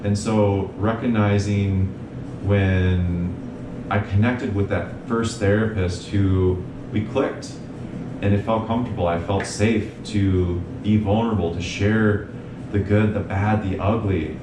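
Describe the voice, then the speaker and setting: A young man, a mental health provider, telling his story in an earnest, measured way as an interview guest. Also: fairly even intonation. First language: English. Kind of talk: reflective interview talk. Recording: echoey room, distant mic, noisy rumble.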